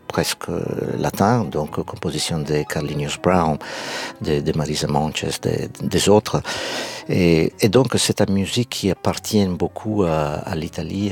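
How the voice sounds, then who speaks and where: Deep, gravelly man. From Sardinia. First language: Sardinian. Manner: halting, searching for French words, many restarts. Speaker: guest singer. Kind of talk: radio interview answers.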